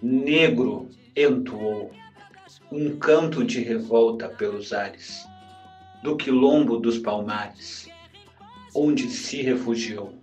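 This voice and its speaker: Male reciter, slow deliberate delivery of verse, faint music under. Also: mid-range voice. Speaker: guest reciting lyrics.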